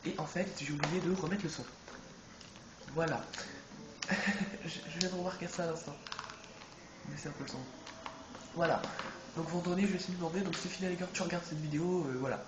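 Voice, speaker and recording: A man's voice: a little high, steady tone, a little fast, long pauses. A man with a head cold, chatting casually and rambling as he plays a video game, often correcting himself. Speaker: let's play gamer. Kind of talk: casual gameplay commentary. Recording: echoey room with background noise.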